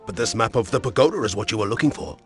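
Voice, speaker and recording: A man voicing a smug, menacing island boss in a video game, mocking and theatrical, with drawn-out threats. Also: fairly low pitch, animated, faint music behind, natural voice.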